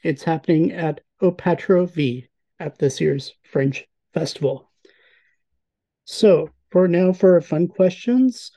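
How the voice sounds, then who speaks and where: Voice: medium pitch, slow pace, mellow. A host asking a guest long, friendly questions and reading out event dates, with the odd filler. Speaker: interviewer, host. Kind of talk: interview questions and event announcement.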